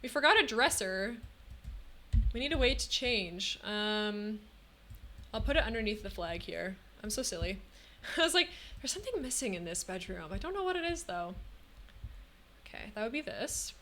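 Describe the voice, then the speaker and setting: A young woman streamer talks her way through a build, chatty and relaxed, filling pauses with hmms and ums. Her voice sits in a middle register, and her throat feels a little scratchy. A faint background hiss runs under her talk. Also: mid-range voice. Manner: chatty, thinking aloud with frequent hmms and ums. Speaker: game streamer. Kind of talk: casual live-stream commentary while building in a game.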